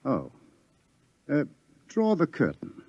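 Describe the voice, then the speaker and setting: A man's voice: a little high, huge pitch swings, slow pace, gravelly, mellow, slightly rough, dry recording, muffled recording, heavily acted. An actor playing a male professor in a 1950s radio drama, explaining the coming doom with some hesitation. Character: male professor, scientist, wry and grave. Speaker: scientist.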